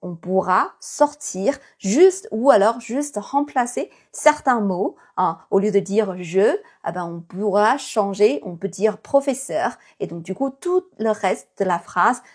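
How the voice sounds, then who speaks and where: Breathy woman teacher. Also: mid-range voice, lively intonation, a little slow, few pauses. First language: Chinese. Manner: many fillers, thoughtful, encouraging. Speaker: Chinese teacher. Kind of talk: casual video lesson.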